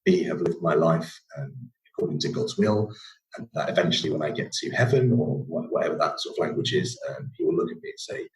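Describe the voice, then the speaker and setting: Very deep-voiced northern English man. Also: lively intonation, a little fast, poor audio. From England. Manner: rambling, many fillers and restarts. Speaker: podcast guest. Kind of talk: casual conversational storytelling.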